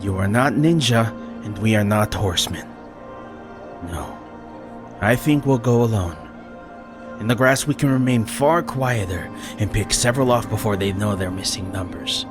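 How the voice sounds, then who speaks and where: A man voicing a resolute ninja master in a fantasy audiobook, speaking in an earnest, measured way. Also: on the low side, over music, voice pushed up, lightly acted. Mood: earnest, grave. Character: resolute male ninja master leading weary townsfolk. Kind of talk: dramatised fantasy audiobook character.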